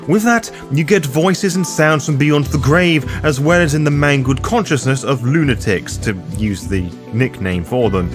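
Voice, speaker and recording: A man narrating a scripted roleplaying guide as its host, theatrical and formally worded, with playful asides. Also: medium pitch. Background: background music.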